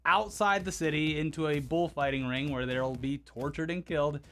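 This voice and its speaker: A man co-hosting a scripted comedy news show, animated and sarcastic, laughing often. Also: on the high side, moderate pace, slight background noise. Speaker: co-host.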